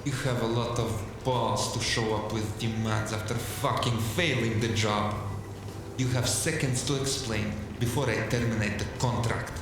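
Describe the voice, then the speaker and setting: A man's voice: on the low side, fairly even intonation, a little slow, background noise, natural voice. A man voicing an arrogant male corporate fixer who speaks coldly and with contempt. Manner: cold, contemptuous, clipped. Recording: echoey room with music behind.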